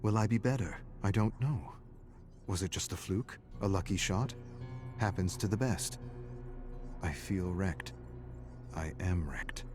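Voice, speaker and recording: A man giving a dramatic, brooding narration of dark fiction in terse, clipped phrases, like game lore. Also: low-pitched, a little slow, pauses often. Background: background music.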